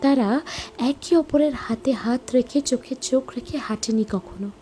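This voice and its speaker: A woman giving a reflective, sentimental scripted introduction to a story, with dramatic pauses. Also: high-pitched, dark tone, slight background noise. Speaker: introducer, narrator.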